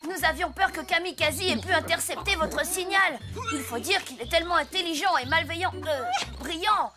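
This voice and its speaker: A woman's voice: very high voice, moderate pace, over loud music. A woman voicing an earnest, plucky young boy in a French cartoon dub. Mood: sly, earnest.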